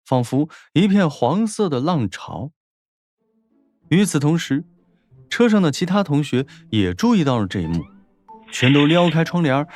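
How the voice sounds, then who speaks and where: A man's voice: a little high, a little mellow. A man narrating a scripted story as an audiobook narrator, in a dramatic storytelling style.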